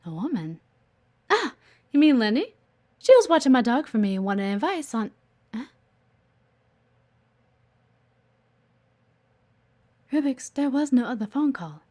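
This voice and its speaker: A woman's voice: high voice, very animated, moderate pace, darkish tone. A woman playing a blunt, guarded but earnest executive assistant in an audio roleplay, assertive and exasperated. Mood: defensive, flustered. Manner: exasperated, assertive, frequent sighs. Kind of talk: audio roleplay acting addressed to the listener.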